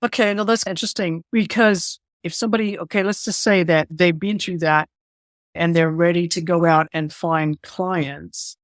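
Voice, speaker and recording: A woman's voice: lowish voice, steady tone, moderate pace, no room sound, clean studio sound. A woman hosting a podcast interview, curious and thinking aloud as she frames her questions. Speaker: podcast host.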